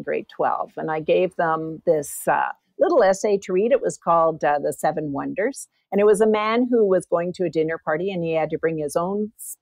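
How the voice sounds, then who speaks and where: A woman's voice: fairly low pitch, darkish tone, dry recording. A woman guest and former teacher with a big voice, telling personal stories in an interview. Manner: big voice, anecdotal storytelling. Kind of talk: interview storytelling.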